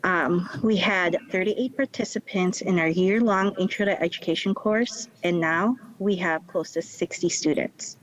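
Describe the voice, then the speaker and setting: A woman teacher gives formal testimony over a remote call in a measured, formal way. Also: fairly low pitch, low-quality recording.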